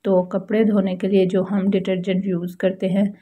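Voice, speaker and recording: A woman's voice: mid-range voice, flat delivery, moderate pace, mellow, husky. A woman with a Pakistani accent giving a casual how-to voice-over as a home vlogger, explaining things politely and repetitively.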